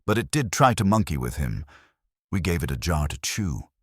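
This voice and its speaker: A man voicing a wisecracking, steady detective's assistant with a breezy, direct manner in an audiobook. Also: rumbling low, normal speed, slightly rough, no echo, clean studio sound, heavily acted. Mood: wry, brisk.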